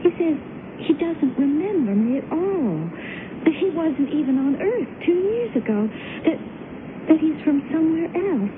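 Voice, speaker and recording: An actress in a 1970s radio drama playing an earnest, devoted wife who speaks haltingly and anxiously. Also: female voice, high voice, sing-song. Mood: distressed, pleading. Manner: halting, stammering, pleading. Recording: noisy, tinny 1970s AM radio broadcast.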